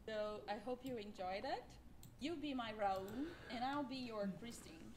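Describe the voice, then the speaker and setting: A woman's voice: a little high, normal speed, a little hiss or hum, rough recording. A young woman in her twenties greeting her duet partner in a friendly, slightly nervous way, recorded on a cell phone.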